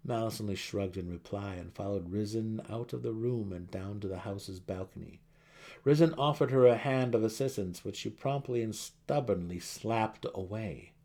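A man's voice: fairly low pitch, normal speed, warm tone. A man narrating a fantasy audiobook dramatically, with theatrical emphasis.

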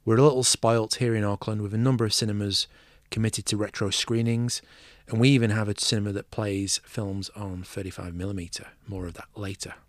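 A man's voice: lowish voice, fairly even intonation, brisk, hardly any pauses, no echo, clean studio sound. A man from England with a Manchester accent, hosting a movie podcast and chatting enthusiastically with a guest. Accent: Mancunian English. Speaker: podcast host. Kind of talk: scripted intro then casual interview.

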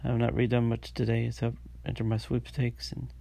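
Man, stuffy congested nose, gravelly voice, monotone delivery, halting with lots of ums, solo podcast host. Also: mid-range voice. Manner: halting, many fillers and restarts. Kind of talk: casual solo diary podcast.

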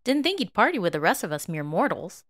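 A woman's voice: mid-range voice, sing-song, fast, fairly bright tone. A woman voicing a flustered, playful teenage schoolboy with hesitant bravado, in an audio drama story reading. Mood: nervous teasing.

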